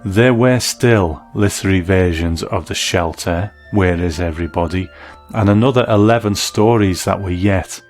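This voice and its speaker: A man's voice: deep voice, moderate pace, a little mellow, soft background music. A man hosting a documentary podcast, reading scripted narration. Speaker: podcast host and interviewer.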